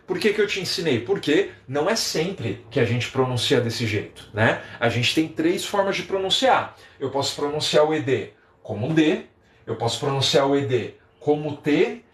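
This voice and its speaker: A young Brazilian man with a Brazilian Portuguese accent, an English teacher giving a lively live-stream lesson and chatting with viewers. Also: a little high, normal speed, reverberant. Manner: lively, animated, reads out viewer comments.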